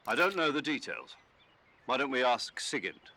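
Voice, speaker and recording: Bright, authoritative army major. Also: male voice, mid-range voice, sing-song, exaggerated voice. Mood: explaining, calm. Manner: explanatory, measured. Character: calm, authoritative male army major briefing by radio. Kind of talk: video game dialogue.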